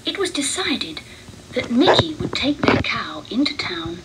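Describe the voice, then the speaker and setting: Female storybook narrator, unhurried and expressive, mid pitch, audible background noise. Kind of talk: read-along storybook narration.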